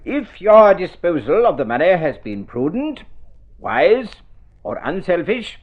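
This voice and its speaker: Fussy, high-pitched male lawyer. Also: very animated, a little slow, exaggerated voice. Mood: formal, measured. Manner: precise, formal, hesitant, exclaiming. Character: fussy, formal lawyer, proper and hesitant. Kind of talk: golden-age radio drama acting. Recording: muffled vintage radio recording.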